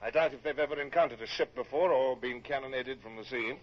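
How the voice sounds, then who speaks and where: An actor playing a humane but commanding British naval captain in a radio drama, speaking in a clipped, formal, authoritative way. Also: male voice, mid-range voice, quick pace, close to an everyday voice. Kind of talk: radio drama acting and first-person narration. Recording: dull, noisy vintage radio recording.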